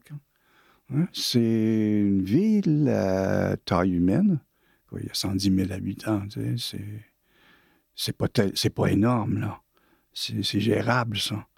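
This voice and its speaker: An older man in his sixties speaks with a Québécois French accent, relaxed and unscripted. His voice sits a little low and has a gravelly edge, and he often pauses or restarts his sentences. The recording is close and dry, with no room sound. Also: dry recording. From Quebec, Canada. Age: 60s. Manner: hesitant, many fillers and restarts. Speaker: interviewee. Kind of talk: casual personal storytelling.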